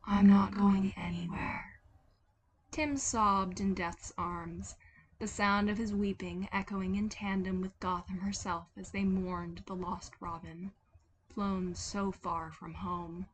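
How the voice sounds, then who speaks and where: Slow-paced woman narrating fiction. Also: mid-range voice, slight background noise. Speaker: podfic narrator. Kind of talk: dramatic scripted fiction narration.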